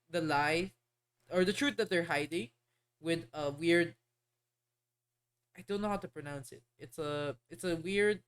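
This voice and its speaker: A woman streamer chatting casually while playing a game, rambling and hesitant as she explains things. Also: low-pitched. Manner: rambling, hesitant, many fillers and restarts.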